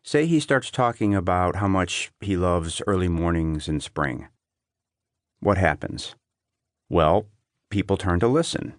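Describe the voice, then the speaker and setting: A man reading an essay as an audiobook narrator, in a measured, clearly phrased delivery. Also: low voice, lively intonation, dry recording, studio quality.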